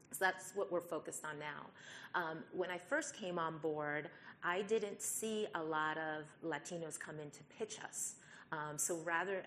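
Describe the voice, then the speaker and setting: A woman venture capital investor explaining her work on a live panel in a steady manner, with frequent fillers. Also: low-pitched, slight background noise.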